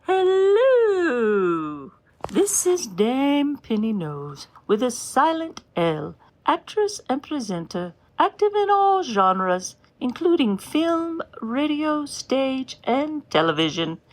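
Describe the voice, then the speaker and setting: A woman hamming up a grand, self-important British stage dame with a high, swooping voice. She speaks in slow, theatrical phrases and savours every pompous word. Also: sing-song, exaggerated voice. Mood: grandiose. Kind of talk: comedy podcast sketch.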